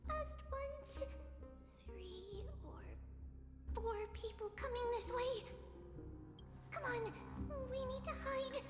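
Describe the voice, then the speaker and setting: A woman's voice: extremely high pitch, takes it slowly, pauses often. A woman voicing a small, earnest, childlike girl in a video game, speaking in short, simple phrases. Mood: urgent, hushed. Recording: reverberant game audio over loud music.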